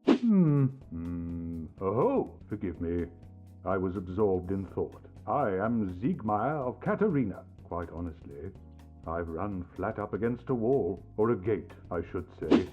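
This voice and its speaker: A courteous fantasy knight with a deep, very mobile voice. He speaks formally and genteelly, as in a video game, in slightly muffled audio over soft music. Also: big pitch swings, measured pace. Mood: pensive, apologetic. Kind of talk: video game character acting.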